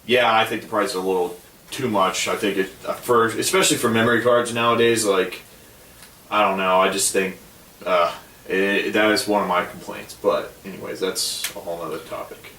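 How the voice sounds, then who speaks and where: A man's voice: lowish voice, fairly even intonation, normal speed, sharp tone, recorded from a distance, slight background noise. Laid-back man on a roomy podcast mic. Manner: easygoing, argues his point with many fillers. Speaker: podcast co-host. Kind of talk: casual gaming podcast chat.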